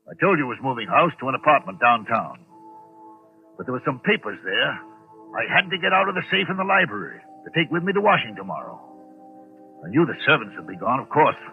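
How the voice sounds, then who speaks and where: An actor playing a wealthy, irritable, middle-aged gentleman unravelling with dread, in agitated, theatrical 1940s radio style. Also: medium pitch, sing-song, a little fast, soft background music. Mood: brooding unease. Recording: tinny old 1940s radio recording.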